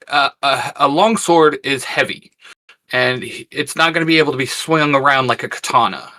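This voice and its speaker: A man's voice: mid-range voice, bright, forceful tone. A man co-hosting a casual review, speaking in an emphatic, opinionated, conversational way. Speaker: co-host reviewer.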